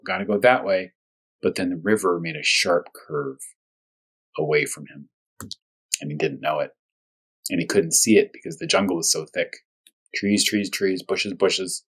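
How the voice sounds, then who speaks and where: A male primary school teacher with a slightly low, rough-edged husky voice leads a storytime for young children. He speaks in plain, short phrases and often repeats words so that little listeners can keep up. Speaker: first grade teacher reading aloud. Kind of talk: storytime read-aloud and discussion for young children.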